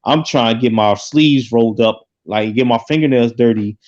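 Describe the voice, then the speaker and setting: An earnest middle-aged man speaks at an ordinary pitch for a man, padding his sentences with "like". His sound comes through dull and muffled. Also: normal speed, darkish tone. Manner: earnest, full of fillers like "like". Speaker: guest. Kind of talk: casual chat.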